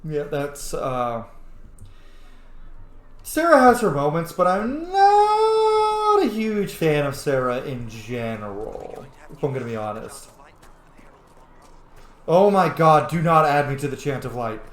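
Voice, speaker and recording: Animated, candid gamer in a roomy space. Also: medium pitch, takes it slowly, pauses often. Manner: candid, profane. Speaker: gaming video host. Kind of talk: casual roleplay game commentary.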